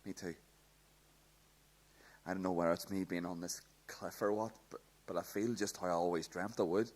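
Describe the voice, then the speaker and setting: A tender teenage boy in a radio play. His voice is very low and husky, and he talks haltingly, with gentle teasing and open affection. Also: steady tone. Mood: tender, elated. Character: Ethan, teasing, tender, once-bullied teenage boy in love. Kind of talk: radio drama acting.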